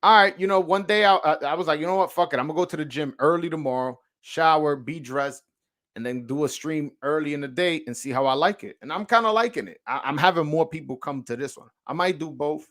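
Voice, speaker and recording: New york dude streaming boxing talk, kinda high voice, curses a lot, trips over his words. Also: quick pace, fairly bright tone. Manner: slangy, profane, lots of stammered restarts. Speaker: boxing livestream host. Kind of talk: casual livestream chat, reacting to viewer comments.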